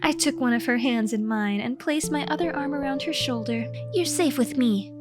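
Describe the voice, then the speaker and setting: High-pitched woman, theatrical comic storyteller. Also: fairly quick, music in the background. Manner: theatrical, mock-heroic. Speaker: storyteller narrating own comic diary. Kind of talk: dramatic comedic scripted narration.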